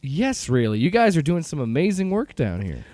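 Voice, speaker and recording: An actor playing a gruff, determined agency director in an audio drama, talking bluntly and casually with some hesitant word-searching. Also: male voice, a little high, wildly swooping pitch, moderate pace, very noisy. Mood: amazed.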